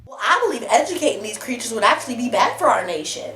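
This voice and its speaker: A woman's voice: a little high, very animated, fast, bright, forceful tone. A young woman playing a brash, scornful, alarmist presidential candidate in a student debate video, blunt and folksy in her delivery. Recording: roomy echo, noticeable background noise.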